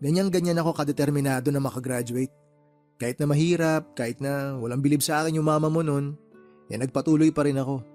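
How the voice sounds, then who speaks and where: A man's voice: mid-range voice, darkish tone, lightly acted. A man playing a caring, determined uncle in a radio drama, earnest, with a Filipino accent. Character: caring, determined uncle who supports his niece's studies.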